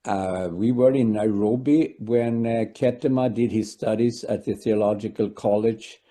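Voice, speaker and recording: A man, founder of an aid organization, giving a calm interview testimony with fillers. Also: fairly low pitch, a little slow.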